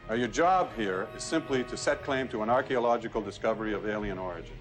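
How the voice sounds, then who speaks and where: An actor playing a businesslike official giving a clipped, matter-of-fact briefing in a science fiction film. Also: male voice, lowish voice, fast, soft background music, natural voice. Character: brisk businesslike male official briefing a space team.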